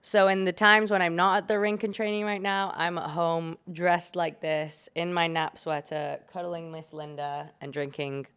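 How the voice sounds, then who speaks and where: A young British woman hosting her own podcast in a relaxed, chatty, jokey way, her voice hoarse from a cold. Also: fairly low pitch, normal speed, few pauses. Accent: British English. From Britain. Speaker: podcast host. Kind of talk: casual chat.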